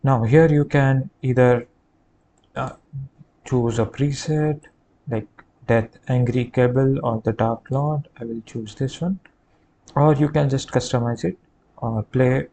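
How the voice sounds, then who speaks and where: A man giving a halting, hesitant step-by-step software tutorial over a screen recording. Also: mid-range voice. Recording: clear background noise.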